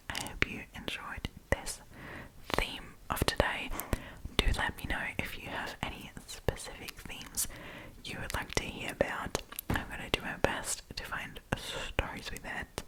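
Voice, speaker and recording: A woman hosts an ASMR storytime entirely in a breathy whisper. She talks in a casual, conversational way, freely sharing her opinions as she goes. The delivery stays unvoiced and informal. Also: measured pace, rough recording. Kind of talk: whispered ASMR, casual chatty commentary on stories.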